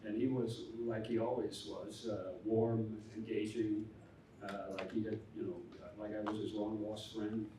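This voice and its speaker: An older man, a theologian on a conference panel, telling his story in a halting, earnest way with many restarts. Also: lowish voice, level tone. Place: conference hall. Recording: echoey hall from far off, faint noise.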